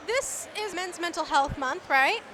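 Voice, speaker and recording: A woman's voice: very high-pitched, lively intonation, takes it slowly, crowd noise, low-quality recording. A woman from Canada hosting a reptile vlog, chatting upbeat and enthusiastic with vendors at an expo while losing her voice. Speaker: reptile vlogger and host. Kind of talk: casual vlog interviewing. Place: indoor reptile expo hall.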